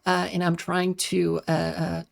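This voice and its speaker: A woman hosting a casual livestream, her voice slightly raspy and strained from long talking, speaking in halting bursts with many fillers and restarts. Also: lowish voice, completely flat delivery. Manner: halting, many fillers and restarts, talks fast then slows. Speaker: livestream host. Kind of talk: casual livestream chat, reading chat and rambling on.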